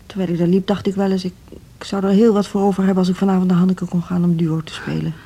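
A lowish, mellow middle-aged female voice with a Netherlands Dutch accent. She is a reserved school director who speaks in a measured, formal and sceptical way. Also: level tone, husky. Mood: subdued, wistful. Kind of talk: radio drama acting.

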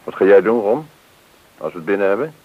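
An actor playing a brash, boastful career crook and war veteran in a Dutch radio play. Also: male voice, on the low side, expressive, a little fast, husky. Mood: probing. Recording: tinny, narrow-band old radio recording.

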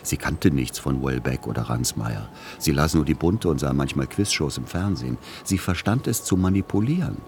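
Tired middle-aged writer guy, super low voice, resigned radio play narration, slight noise behind. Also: animated, normal speed, few pauses, a little mellow. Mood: resigned, reflective. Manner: resigned, introspective.

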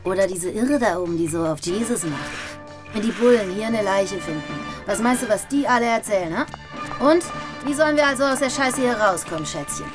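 A woman's voice: medium pitch, expressive, a little fast, over music, close to an everyday voice. A woman acting a hard-boiled, condescending sex worker in her twenties in a German radio drama, mocking and bossy. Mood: scornful. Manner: mocking, street-tough, bossy.

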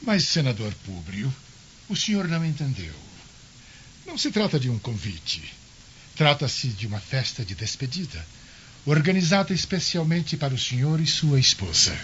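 Suave Roman governor with swooping pitch, Brazilian. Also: male voice, big pitch swings, normal speed, fairly bright tone. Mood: insistent. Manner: florid, ceremonious, theatrical. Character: suave, insistent Roman governor, grandly hospitable. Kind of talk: dramatised Brazilian radio drama acting. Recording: dull, noisy lo-fi radio drama.

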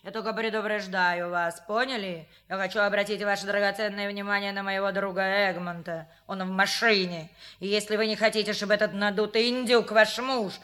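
A woman's voice: high voice, expressive, normal speed, pushed and bright. An actress playing a brash, defiant, vulgar woman in a comedy audio play, sneering and combative. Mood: scornful, threatening.

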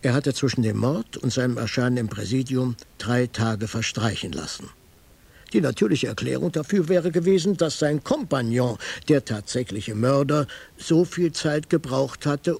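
An actor playing a hardboiled private detective, narrating his case dryly in a gravelly, husky voice for a radio crime drama. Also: medium pitch, lively intonation, moderate pace, darkish tone. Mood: dry, matter-of-fact.

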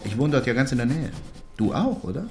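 An actor playing a wry, observant colleague who chats in a conversational, teasing way in a radio drama. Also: male voice, medium pitch, very animated, brisk, a little mellow, a little hiss or hum. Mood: chatty, wry. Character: chatty, wry male colleague, curious and observant.

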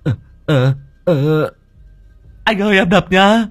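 A clumsy, jittery young helper, put on by a man narrating a ghost story. His voice jumps high and wild, stammering and whining, with a husky grain. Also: moderate pace, faint music behind. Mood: nervous. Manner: stammering, whiny, high and excited.

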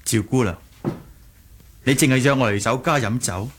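An actor playing a polite, guarded young martial-arts hero, speaking in a measured way in an audio drama. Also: male voice, mid-range voice, fairly bright tone, a little hiss or hum, natural voice. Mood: guarded, courteous. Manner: courteous, measured. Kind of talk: Cantonese audio drama acting.

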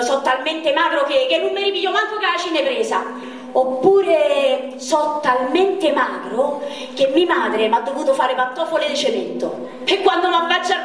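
A woman's voice: high voice, a bit bright, far from the mic. A woman playing a caring companion who coaxes a friend to eat and teases him with a run of jokes, in a stage drama played on radio. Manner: coaxing, then rattling off jokes. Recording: very echoey stage heard from afar.

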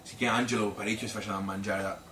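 A chatty working-class Tuscan woman, played by a man in a low voice, reminisces about married life in a rambling, colloquial stage monologue. The delivery halts and repeats like spontaneous storytelling. It is recorded from a distance in an echoey room with clear background noise. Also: flat delivery, close to an everyday voice. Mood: confiding. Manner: rambling, colloquial, halting with repetitions. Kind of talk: spontaneous-sounding theatrical monologue. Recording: roomy, distant, noisy live recording.

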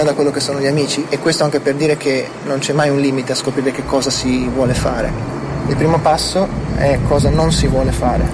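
A man's voice: medium pitch, very noisy. A man being interviewed, speaking casually and thoughtfully, correcting himself as he goes. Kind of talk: casual reflective talk.

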